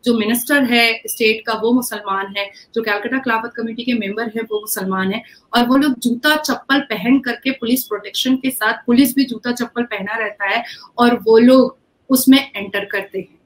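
A woman's voice: on the high side, moderate pace, low-quality recording. A woman from India, an activist guest, speaking earnestly and insistently in an online video-call interview, often restarting her sentences. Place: indoor home room, video call.

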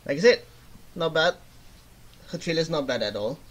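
A man's voice: a little high, lively intonation, moderate pace. A young man hosting a gaming reaction video, commenting casually into a desk mic in a small room at home. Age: young adult. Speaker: gaming channel host reacting to a trailer. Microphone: desk microphone on a webcam setup.

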